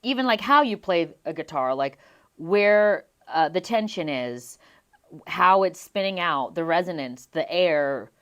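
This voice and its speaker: A woman in her young adult years chats as a guest in an interview, telling stories in an animated way. Her voice sits a little low, with a pitch that rises and falls a lot. She laughs often as she talks. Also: measured pace. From Las Vegas, US. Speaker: guest singer. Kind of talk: casual interview chat.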